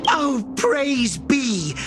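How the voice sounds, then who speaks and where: A woman's voice: high voice, big pitch swings, very slow, exaggerated voice. A woman playing a devout, reverent disciple in a game, proclaiming a creed. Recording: music playing behind the voice.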